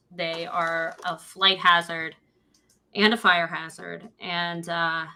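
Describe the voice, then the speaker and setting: Bright, blunt New York woman. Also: on the low side. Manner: blunt, declarative, repeats herself. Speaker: podcast guest. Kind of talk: casual chat.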